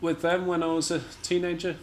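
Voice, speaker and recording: A man's voice: fairly high pitch, completely flat delivery, fairly quick, a little hiss or hum. Understated man chatting in an interview. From Scotland. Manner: hesitant, self-deprecating, understated. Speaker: interview guest, jazz pianist and musician. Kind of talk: casual interview chat.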